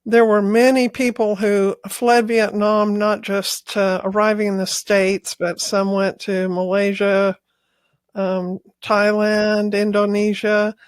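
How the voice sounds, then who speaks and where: A woman's voice: medium pitch, little pitch movement, slow, no echo. A woman hosting a podcast, introducing her guest and asking questions in a friendly manner. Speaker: podcast host.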